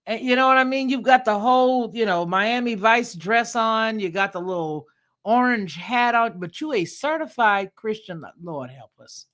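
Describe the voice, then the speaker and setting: A woman's voice: medium pitch, fairly bright tone. A woman giving blunt, mocking commentary as she reacts to a dating show. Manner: sassy, incredulous, blunt.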